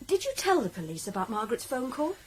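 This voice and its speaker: A high, very animated British voice a resolute woman probation officer in a radio play. She is brisk and direct, firing questions and giving orders over faint background noise. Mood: probing. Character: determined, outspoken woman probation officer planning a rescue. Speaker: actor in a radio drama. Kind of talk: British radio drama acting.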